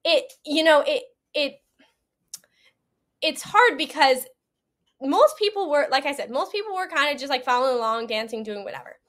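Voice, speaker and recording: A woman's voice: high voice, lively intonation, normal speed, fairly bright tone. A woman giving a personal account as an interview guest, earnest, conversational and emphatic. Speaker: podcast guest, event attendee.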